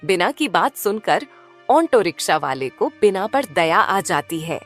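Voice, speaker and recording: A woman's voice: on the low side, big pitch swings, measured pace, soft background music. A woman reading scripted narration as the storyteller of a cartoon tale.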